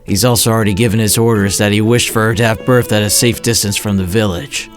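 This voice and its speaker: Low-voiced, reserved young ninja played by a man. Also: steady tone, fast talker, faint music behind, close to an everyday voice. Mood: earnest. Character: dutiful, reserved young male ninja student on guard duty. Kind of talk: fan-made anime audio drama acting.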